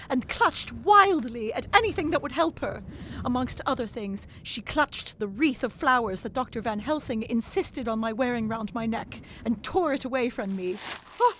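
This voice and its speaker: A woman narrating a gothic novel in the first person, expressive and dramatic, dropping to whispers in the hushed passages. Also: high-pitched, background noise.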